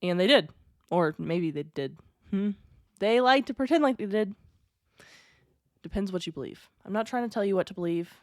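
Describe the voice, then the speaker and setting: A woman's voice: medium pitch, expressive, moderate pace, pauses often, a little mellow, no room sound. Chatty woman with a dry, close voice. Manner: chatty and digressive, with asides and wry jokes. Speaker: podcast host. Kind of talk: casual storytelling podcast.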